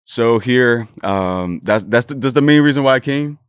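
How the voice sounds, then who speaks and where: A man's voice: mid-range voice, expressive, normal speed, clear, resonant tone, dry recording, studio quality. A man in his early twenties from Honduras, a native Spanish speaker, chatting playfully and informally as a podcast guest. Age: early 20s. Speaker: podcast guest, content creator. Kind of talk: casual interview chat.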